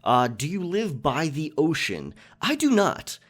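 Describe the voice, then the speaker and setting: A man's voice: fairly high pitch, wildly swooping pitch, unhurried, fairly bright tone. A man talking casually and reflectively as a channel creator, answering listener questions and explaining his thinking. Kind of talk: casual Q&A monologue.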